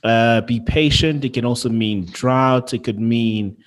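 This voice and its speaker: A man's voice: mid-range voice, a little slow. A man hosting an interview in an enthusiastic, casual way, often repeating words as he gets started. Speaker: interview host.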